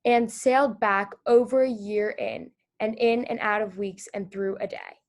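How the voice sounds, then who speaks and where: A woman hosting a read-aloud for children over a video call, reading the story and asking them gentle questions. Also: medium pitch, measured pace. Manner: gentle, encouraging, with small restarts.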